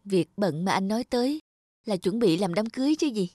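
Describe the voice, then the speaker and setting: A woman's voice: medium pitch, expressive, brisk, dark tone, dry recording, clean studio sound, lightly acted. A woman voicing a proud, manipulative young ex-girlfriend in a cartoon drama, dramatic and reproachful. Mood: bitter.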